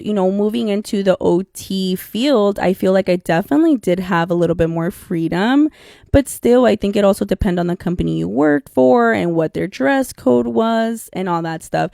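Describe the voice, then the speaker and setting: A woman's voice: medium pitch, gravelly, warm tone, no room sound, studio quality. A woman whose first language was Spanish, co-hosting a podcast in a candid, chatty way and calling listeners "y'all". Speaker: podcast co-host. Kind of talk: casual solo podcast talk.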